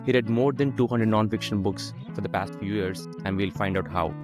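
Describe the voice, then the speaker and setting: A man hosting a conversational podcast interview, asking questions with somewhat halting phrasing. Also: lowish voice, music in the background.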